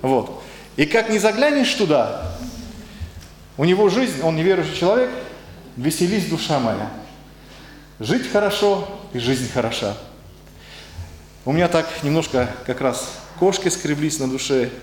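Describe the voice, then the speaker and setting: A man's voice: a little high, lively intonation, measured pace, pauses often, a little hiss or hum. A man preaching a conversational sermon in speech, hesitant and searching for words, and putting questions to his congregation. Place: church hall. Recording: echoey hall with faint ambience.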